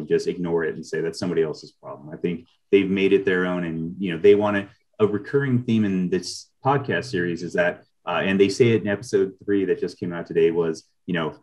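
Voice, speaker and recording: A man's voice: sits low, a little mellow. A man in his forties explaining his writing casually as an interview guest on a video call. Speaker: podcast writer, interview guest.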